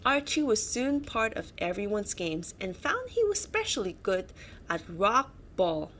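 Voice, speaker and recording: A woman storyteller reads a children's picture book aloud. She takes her time and varies her pitch dramatically, adding small asides. Faint noise sits behind the voice. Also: measured pace. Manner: dramatic, expressive.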